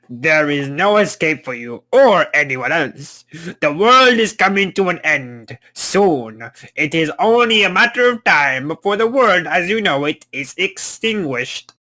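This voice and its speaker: A man voicing an arrogant, menacing god in a fantasy table read, with a grandiose, taunting and deliberate delivery. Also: a little high, big pitch swings, sharp tone, full character voice. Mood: menacing, gloating.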